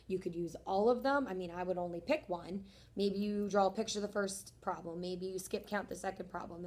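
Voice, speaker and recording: Young teacher lady losing her voice, kinda hoarse, friendly, walks thru each step for her class. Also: mid-range voice, a little mellow. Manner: friendly, encouraging, talks through each step. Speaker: third grade teacher. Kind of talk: homework explanation video for students.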